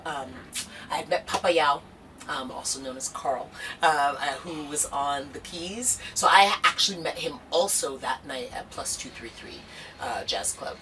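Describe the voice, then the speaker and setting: Low bright woman, kinda rambly and earnest, mic a bit far off. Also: slight background noise. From United States. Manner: earnest, rambling with many fillers and restarts. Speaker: singer-songwriter. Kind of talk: casual documentary interview.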